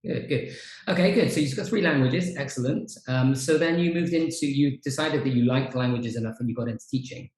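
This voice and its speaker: A man's voice: mid-range voice, a little fast, hardly any pauses. Male host for a casual video-call chat, easygoing, with echo in the room. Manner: relaxed, chatty, frequent fillers. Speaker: podcast host, teacher trainer. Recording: roomy echo.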